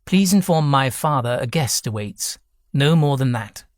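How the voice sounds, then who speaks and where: Man playing an imperious young gentleman, formal. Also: mid-range voice, moderate pace, husky, no echo, clean studio sound, close to an everyday voice. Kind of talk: audiobook character voice.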